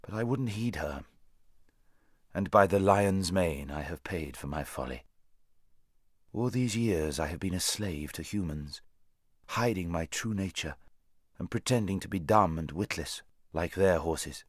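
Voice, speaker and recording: Storybook war horse, played by a man: low-voiced, haughty, worldly, lectures grandly with wide swings in intonation. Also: expressive, unhurried, no echo, clean studio sound. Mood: rueful, proud. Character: proud male talking war horse, worldly, scornful, bossy.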